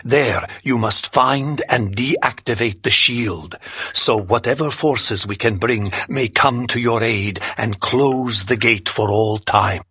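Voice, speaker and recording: An actor playing an ancient, grave and wise otherworldly sage in a dramatic video game, speaking formally and portentously. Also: male voice, medium pitch, takes it slowly, sharp tone, slightly rough, dry recording, heavily acted. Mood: grave, urgent.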